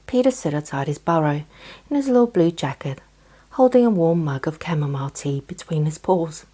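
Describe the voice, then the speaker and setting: Low, breathy woman telling bedtime stories. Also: lively intonation, brisk, warm tone. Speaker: children's storyteller. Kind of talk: gentle scripted bedtime story narration.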